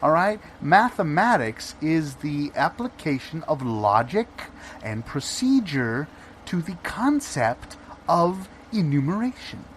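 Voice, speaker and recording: A sardonic, pedantic man played by an improv actor with a fairly high, very animated voice. He argues with mock solemnity in an improvised comedy, with light noise behind him. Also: slow, poor audio. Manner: mock-solemn, wry, interjecting. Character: sardonic, pedantic debater defending an amateur sleuth. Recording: background noise, informal non-studio room.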